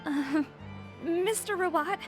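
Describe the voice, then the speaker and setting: Onlooker at a launch, game role: high voice, unhurried, curious and impressed. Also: female voice, music in the background. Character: curious, impressed female audience member at a launch. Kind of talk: video game voice acting.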